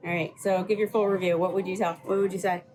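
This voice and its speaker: A woman's voice: lowish voice, flat delivery, quick pace, a little mellow, soft background music. A woman hosting a casual vlog, chatting, asking questions and echoing the answers she gets. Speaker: vlog host, interviewing child. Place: aboard a cruise ship. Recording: clearly noisy ship surroundings.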